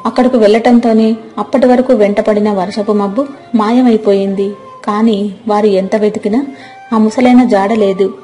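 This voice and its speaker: A woman's voice: mid-range voice, mellow. A woman narrating a scripted moral story as a storyteller. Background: faint music.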